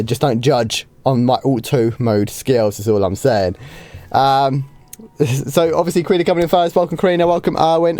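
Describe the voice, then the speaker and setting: A man, a bit hoarse from a starting cold, easily answering viewer questions on a live game stream. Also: mid-range voice, darkish tone, no echo. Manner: chatty, easygoing, with frequent ums and light laughs.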